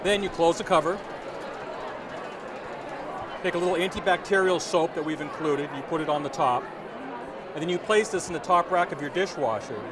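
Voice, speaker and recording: A middle-aged man pitches and explains a product in an upbeat, friendly sales voice, his tone sitting a little high for a man. He is on a lapel mic at an indoor trade show. Loud crowd chatter and constant noise fill the background. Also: normal speed, pauses often. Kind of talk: casual sales demonstration.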